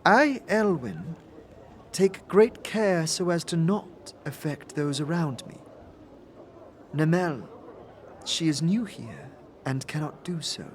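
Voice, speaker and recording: A woman's voice: sits low, expressive, takes it slowly, long pauses, a little hiss or hum. An actress playing a reserved otherworldly woman who speaks in a stilted, careful, formal way in an audio drama. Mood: grave, careful. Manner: stilted, careful, formal, repeats own name. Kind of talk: scripted audio drama acting.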